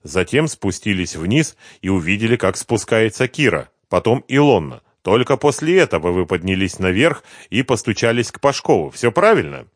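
A man voicing a calm, commanding detective who questions a suspect in an audiobook, level and firm. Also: fairly low pitch, moderate pace, few pauses, no echo, clean studio sound. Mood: steady, commanding. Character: calm, relentless male detective interrogating a suspect. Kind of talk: audiobook detective novel dialogue.

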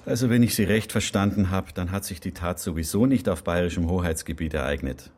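Deep-voiced sceptical official, played by a man. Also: fairly quick, a little mellow, slight background noise, close to an everyday voice. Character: sceptical male official who trusts only evidence. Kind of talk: radio drama dialogue.

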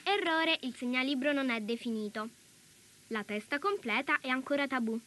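Against clear background noise, an actress voices a high-pitched female announcer in a radio play. She delivers scripted bulletins with cool detachment. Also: moderate pace, close to an everyday voice. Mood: detached. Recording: noisy ambient backdrop.

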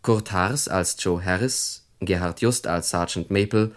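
A male radio announcer with a low voice reads scripted credits. His delivery is formal and measured.

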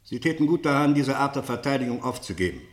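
An actor playing a stern general presiding over a court-martial in a German radio play, formal and severe. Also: male voice, mid-range voice, expressive, fast, natural voice. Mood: stern, accusing. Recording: roomy, echoing courtroom sound.

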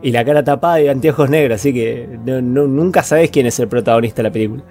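A man's voice: mid-range voice, fast talker, mellow. Argentine male podcast co-host, colloquial and foul-mouthed, mid register, light music bed. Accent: Argentine Spanish. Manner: colloquial, slangy, swearing. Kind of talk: casual film chat.

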